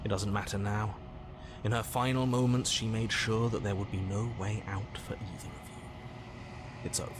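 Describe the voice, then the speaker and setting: A man voicing a brooding male game narrator who speaks in a slow, solemn, measured way. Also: fairly low pitch, fairly even intonation, background noise, natural voice. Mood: somber. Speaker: game narrator and inner voices. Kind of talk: scripted game voice acting.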